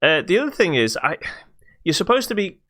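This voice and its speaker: A man's voice: high voice, very animated, brisk, fairly bright tone. A British man chatting casually as a podcast co-host. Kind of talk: casual chat.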